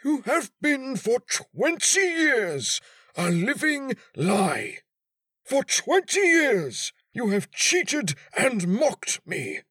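A vindictive old husband, pitched far above a normal low register and swinging wildly. The voice is husky and slow, declaiming each bitter accusation in high-strung audiobook melodrama. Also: male voice, sing-song, fairly bright tone, no echo, clean studio sound, heavily acted. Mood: furious, anguished. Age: 60s. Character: furious, vindictive old English knight and wronged husband. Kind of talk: dramatic audiobook character reading.

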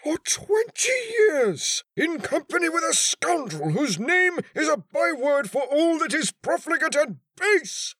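Bitter old knight: declamatory, slow, huge pitch swings, storybook melodrama. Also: male voice, very animated, a bit bright, no room sound, exaggerated voice. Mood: furious, anguished. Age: 60s. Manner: high-strung, bitter, declamatory. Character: furious, vindictive old English knight and wronged husband. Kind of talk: dramatic audiobook character reading.

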